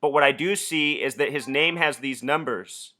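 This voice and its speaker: Bright-voiced, insistent man. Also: on the high side, moderate pace. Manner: insistent, suspicious. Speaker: video host and caller. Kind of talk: conspiracy commentary and confrontational phone questioning.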